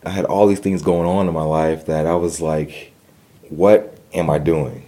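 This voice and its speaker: Man, deep, mellow, stutters, repeats words, earnest interview guest. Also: steady tone, measured pace. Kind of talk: personal story in an interview.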